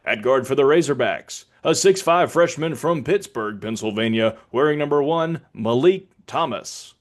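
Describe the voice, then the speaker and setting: An American man voicing a basketball arena announcer, controlled and formal, with clipped calls and deliberately delivered names. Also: medium pitch, expressive, close to an everyday voice. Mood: emphatic. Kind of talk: public address sports announcing demonstration.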